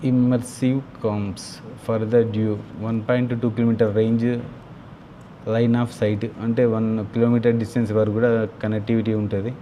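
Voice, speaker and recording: Man, Telugu accent, very monotone, casual unscripted vlog talk, thinking aloud, clear background noise. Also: mid-range voice, slow pace. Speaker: motovlogger unboxing and installing gear. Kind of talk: casual unscripted product walkthrough.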